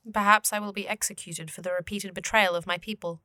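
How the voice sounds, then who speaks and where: Female actor for otherworldly guide in fantasy audio drama: earnest, deadpan, very formal, forceful bright tone, dry close recording. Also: mid-range voice, brisk, dry recording, lightly acted. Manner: stiffly formal, avoids contractions, deadpan.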